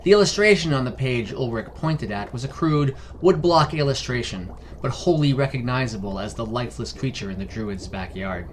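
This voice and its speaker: A man reads scripted fantasy narration. He is the storyteller of a podcast. A clear layer of noise runs behind his voice. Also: mid-range voice, expressive, moderate pace, few pauses.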